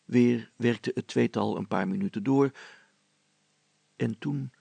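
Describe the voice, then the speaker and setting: Gravelly male storyteller, close and dry. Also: mid-range voice, slow, dark tone, no room sound. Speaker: narrator. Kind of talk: scripted storytelling narration with character voices.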